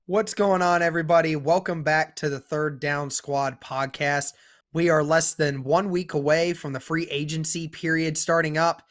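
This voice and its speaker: A man's voice: a little high, steady tone, moderate pace, fairly bright tone. A young man with a sore throat hosting a casual sports podcast, upbeat and leading the talk. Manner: upbeat, leads the discussion. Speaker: podcast host.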